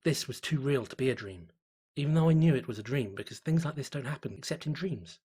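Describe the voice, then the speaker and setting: A man's voice: medium pitch, animated, fast, mellow, breathy edge. A man playing a fearful, exhausted tourist, brooding uneasily through a diary in a horror story reading. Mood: regretful dread.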